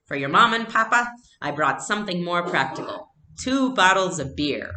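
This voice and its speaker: A woman reader voices a young man from a storybook, warm yet serious, a trusted family friend. The recording is echoey and roomy. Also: lowish voice, lively intonation, moderate pace, slight background noise, voice pushed up. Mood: cheerful.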